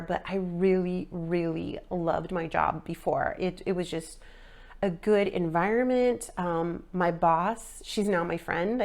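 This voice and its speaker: A woman's voice: on the low side, gravelly. A woman in her forties, sounding a little nasal after a sinus infection, casually rambling through a personal fitness update with lots of ums and likes. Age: 40s. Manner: rambling, filler-heavy, frequent ums and likes. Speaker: fitness vlogger.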